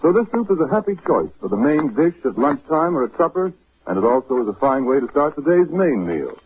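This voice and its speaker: A man announcing an old-time radio advertisement in a measured, persuasive scripted manner, on a vintage recording. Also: fairly high pitch, sing-song, phone-quality sound, a little hiss or hum.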